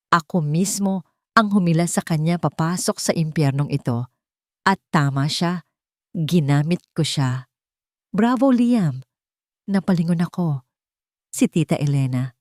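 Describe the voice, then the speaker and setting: A woman's voice: low-pitched, darkish tone, no echo, clean studio sound. A woman reading scripted first-person narration of a dramatic love story.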